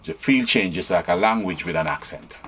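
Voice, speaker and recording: An older man from Jamaica, casually explaining music history and pausing to play examples on a keyboard. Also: low-pitched, lively intonation, fairly bright tone, a little hiss or hum. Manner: teaching, stops to demonstrate on keyboard.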